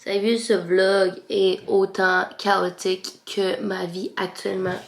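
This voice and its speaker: A woman's voice: medium pitch, level tone, a little slow, creaky, reverberant. A young Québécoise woman vlogging casually about her studies at home, chatty, slipping English words into her French, stuffed up with a cold. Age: young adult. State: congested, sore throat. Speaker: vlogger, student. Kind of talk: casual study vlog talk to camera.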